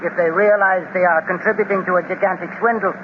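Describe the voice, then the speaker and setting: A servile henchman, played by a man, answers his master in a stiff, formal, high voice. It is a 1930s radio serial. The sound is thin and phone-like, with faint hiss behind it. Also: fairly even intonation, fast, close to an everyday voice. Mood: deferential. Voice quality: stiff, formal, deferential speech. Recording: tinny, hissy old radio transcription.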